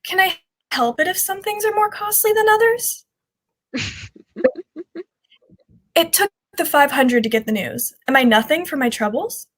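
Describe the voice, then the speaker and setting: A woman's voice: very high voice, animated, a little slow, long pauses, a bit bright, exaggerated voice. A woman playing a charming, greedy young café proprietor of the underworld in a radio drama, teasing and wheedling. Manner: wheedling, playful haggling. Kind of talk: 1940s radio drama acting.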